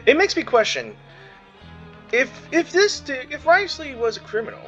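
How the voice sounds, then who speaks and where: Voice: high voice, big pitch swings, moderate pace, fairly bright tone, muffled recording. A gamer giving casual, slangy commentary over a playthrough. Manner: slangy, informal. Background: game music.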